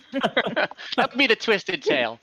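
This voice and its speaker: A woman's voice: fairly high pitch, wildly swooping pitch, takes it slowly, bright, forceful tone. A woman acting as game master, casually narrating a tabletop game in a hesitant, amused way.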